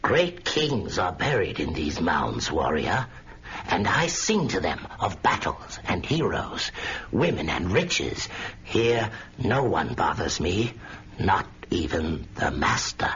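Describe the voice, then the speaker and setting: Old wizard hermit, deep and sing-song, slow and solemn, on a dull lo-fi radio recording. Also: male voice, big pitch swings, fairly bright tone, full character voice. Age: older. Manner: slow solemn chanting, drops to whispers. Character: wizened old male wizard, mound caretaker. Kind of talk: radio drama acting. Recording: dull lo-fi audio, faint hiss.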